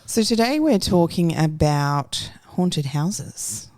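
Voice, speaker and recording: A woman's voice: lowish voice, lively intonation, takes it slowly, a little mellow, dry recording. A woman co-hosting a faith podcast, asking questions in a friendly way. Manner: friendly, prompting questions, reads definitions aloud. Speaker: podcast co-host. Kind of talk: casual faith podcast chat.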